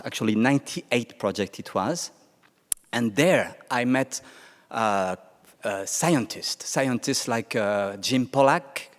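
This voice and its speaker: A man with a French accent speaks at a conference panel in a middle-pitched voice. He halts and restarts often, filling gaps with "uh". The long reverb of a large hall trails behind him. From France. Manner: hesitant, many restarts and fillers. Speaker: panel speaker, Mars climate scientist.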